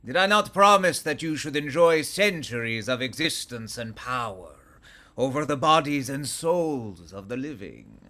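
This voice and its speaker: An actor in a radio play voicing an ancient vampire master, imperious and deliberate. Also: male voice, mid-range voice, lively intonation, bright, forceful tone. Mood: commanding.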